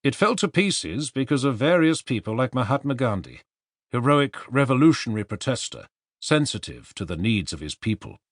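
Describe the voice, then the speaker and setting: Male narrator for serious non-fiction audiobook. Articulate, emphatic, animated melody, very dry close studio sound. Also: medium pitch, normal speed, no echo.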